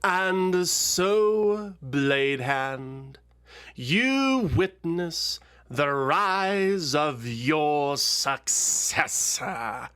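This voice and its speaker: A man voicing a savage, gloating female dragon in a fantasy audio story, mocking and crowing in drawn-out triumph. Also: on the high side, animated, pushed and bright, smooth, heavily acted.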